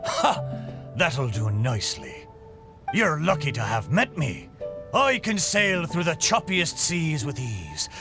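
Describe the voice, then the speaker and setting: Swooping, hearty old sea-dog ship. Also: male voice, on the high side, wildly swooping pitch, takes it slowly, music in the background, exaggerated voice. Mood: boastful, cheerful. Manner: hearty nautical dialect, theatrical flourishes. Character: jolly old-salt talking ship, forgetful, boastful, big-hearted. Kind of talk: video game character acting.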